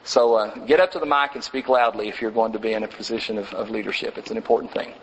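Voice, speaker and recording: A man teaching a church class in a casual, halting way, with many fillers and restarts. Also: on the low side, fairly even intonation, in a large hall, slight background noise, low-quality recording. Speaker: preacher teaching a congregation class. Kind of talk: informal Bible class teaching. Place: church meeting room.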